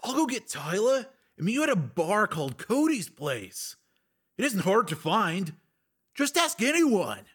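A man's voice: sits high, huge pitch swings, measured pace, fairly bright tone, husky, pitched above the performer's own voice, exaggerated voice. A man voicing a cautious, reluctant local husband in an audiobook, speaking in a hesitant, cautioning way. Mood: resigned. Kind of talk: audiobook character acting.

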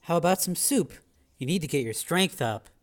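A man's voice: on the high side, lively intonation, normal speed, pitched above the performer's own voice, close to an everyday voice. A man voicing a tough, fiery, protective woman who speaks plainly and intensely in an audiobook. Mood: caring. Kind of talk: audiobook character voice.

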